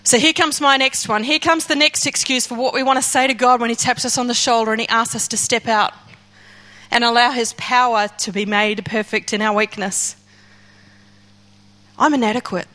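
Lady giving a fired-up sermon, high bright voice. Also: fairly even intonation, moderate pace. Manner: impassioned, acts out Moses pleading in first person. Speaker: preacher.

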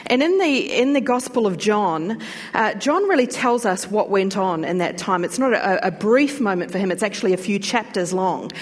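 A woman's voice: medium pitch, animated, normal speed, in a large hall. A woman with a New Zealand accent preaching a sermon in a church, chatty and talking straight to the congregation. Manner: chatty, warm asides to the congregation. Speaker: pastor. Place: church hall.

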